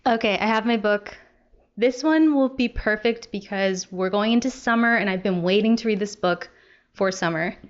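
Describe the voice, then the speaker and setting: A woman's voice: mid-range voice, normal speed, muffled recording. A young adult woman talking casually to viewers as a book vlogger. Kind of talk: casual chat to camera.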